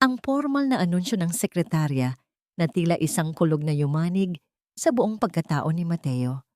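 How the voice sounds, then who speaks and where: Mellow Filipino woman narrating with lively pitch. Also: moderate pace, dry recording, clean studio sound. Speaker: storyteller. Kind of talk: dramatic scripted audio story narration.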